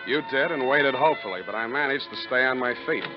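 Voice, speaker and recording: An actor playing a tough, wry private detective in a 1940s radio drama, with clipped, sardonic delivery. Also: male voice, mid-range voice, fast, close to an everyday voice. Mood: wry, accusing. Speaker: private detective, narrator of the story. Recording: dull 1940s radio recording with music.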